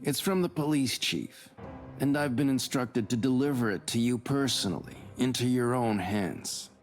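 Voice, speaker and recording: A man acting an earnest, superstitious, deferential subordinate in a visual novel set in 19th-century Russia, speaking formally and in a measured way. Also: medium pitch, faint music behind, natural voice. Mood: polite, dutiful.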